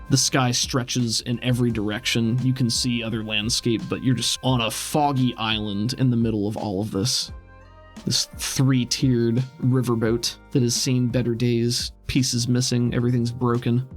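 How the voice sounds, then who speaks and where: A man's voice: mid-range voice, flat delivery, measured pace, soft background music. A man running a tabletop role-playing game as game master, narrating scenes in a descriptive, cinematic way.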